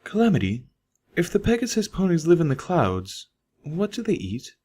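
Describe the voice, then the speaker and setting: Refined lady unicorn, acted by a man, lifted pitch, prim, polite, skeptical, storybook character. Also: wildly swooping pitch, normal speed, dark tone. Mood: curious. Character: refined, curious, skeptical female unicorn pony.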